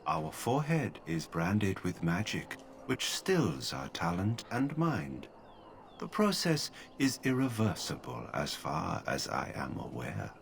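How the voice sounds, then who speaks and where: A mage stripped of all feeling, speaking with calm, formal detachment in a game. The voice sits very low yet moves across a wide span of pitch. A clear layer of background noise runs under it. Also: male voice, slow pace, exaggerated voice. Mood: detached. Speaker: game character.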